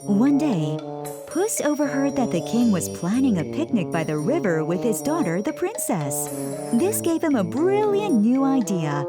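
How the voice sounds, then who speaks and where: A woman narrating a children's bedtime story in a gentle, expressive way. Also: a little high, normal speed. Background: children's music.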